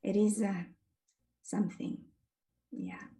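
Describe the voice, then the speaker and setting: A woman with a strong French accent speaks very slowly and deliberately, pausing between short phrases as she moderates a panel discussion. Her voice sits at an ordinary pitch and comes across as distant from the mic. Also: little pitch movement, mellow. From France. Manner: slow, measured, halting. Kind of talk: reflective panel talk.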